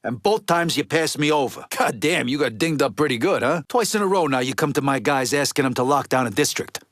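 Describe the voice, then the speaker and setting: A man's voice: mid-range voice, animated, brisk, fairly bright tone, dry recording. Game mobster: middle-aged Italian-American tough guy, East Coast accent, street-smart, swearing freely, dry studio sound. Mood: resentful, firm. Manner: blunt, profane, street-tough wiseguy talk. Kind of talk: video game character dialogue.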